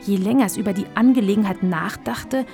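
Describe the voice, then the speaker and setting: A woman performing as a calm, measured narrator of a children's radio play. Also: medium pitch, creaky, over music, close to an everyday voice. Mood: calm, narrating. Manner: measured, storytelling. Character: storybook narrator, calm and sympathetic. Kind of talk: scripted children's story narration.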